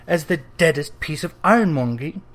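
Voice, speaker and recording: A narrator reading a classic literary story aloud in a dramatic, emphatic way, with deliberate phrasing. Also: mid-range voice, expressive. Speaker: audiobook reader.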